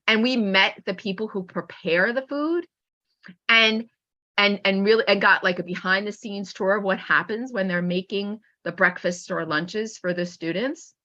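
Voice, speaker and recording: A woman teacher giving an informal webinar talk with anecdotes in an animated manner, acting out remarks as she goes. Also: medium pitch, moderate pace, muffled recording. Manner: animated, acts out pupils' remarks. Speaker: teacher and author presenting a webinar.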